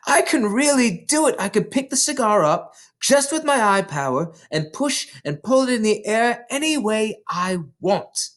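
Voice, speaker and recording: A determined young schoolgirl from a storybook , as a male reader acts her out. Her voice is lifted high and swings widely, with earnest, excitable emphasis on what she says. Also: normal speed, hardly any pauses. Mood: excited, triumphant.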